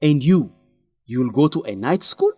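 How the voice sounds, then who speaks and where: An earnest young guy in a radio play, fairly high voice that swings up and down a lot, lively and scolding, recorded close and dry with no room sound. he presses his friend hard and sounds sure of his own principles. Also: a little slow, slightly rough, dry recording, heavily acted. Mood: pleading, scolding. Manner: animated, urging, scolding. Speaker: friend and fellow researcher. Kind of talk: radio drama acting.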